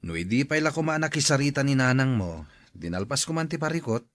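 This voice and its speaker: A man's voice: medium pitch, expressive, quick pace, a bit bright, natural voice. A man playing a sympathetic, advising school colleague in a radio drama, sounding concerned.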